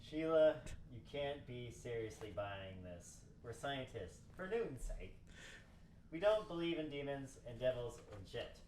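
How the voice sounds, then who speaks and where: A man's voice: mid-range voice, sing-song, unhurried, darkish tone, pitched below the performer's own voice. A man playing a sardonic, exasperated, skeptical scientist in an amateur radio play table read. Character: exasperated, skeptical, foul-mouthed male robotics scientist. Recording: roomy, distant mic with clear noise.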